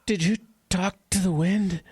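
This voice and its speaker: An actor playing a desperate, freezing man in a horror audio drama, stammering and anxious in a husky voice. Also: high voice, fairly even intonation, measured pace, darkish tone, dry recording, heavily acted. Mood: weakly anxious. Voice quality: husky, gravelly, breathy.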